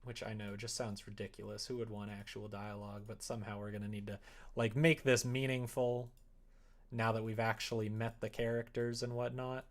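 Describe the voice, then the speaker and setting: Low-pitched male streamer with gravelly creak. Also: level tone, fairly quick. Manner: informal, muttering to himself while working. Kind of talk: casual livestream chat while coding a visual novel.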